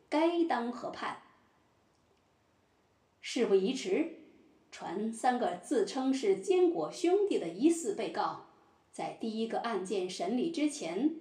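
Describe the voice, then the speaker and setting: A woman playing a solemn judge in a mock-trial audio drama, speaking formally and deliberately. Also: medium pitch, warm tone. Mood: formal, commanding. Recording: roomy echo.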